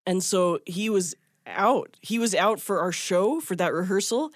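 A woman's voice: medium pitch, measured pace, no echo, clean studio sound. A woman speaking as a guest musician and teacher, telling a personal anecdote in a casual, chatty, self-deprecating way. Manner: chatty, self-deprecating, breaks into small laughs. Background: guitar music.

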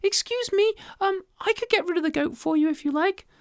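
A woman voicing a tiny, polite little male ant with a squeaky, timid voice. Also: brisk, no room sound, clean studio sound.

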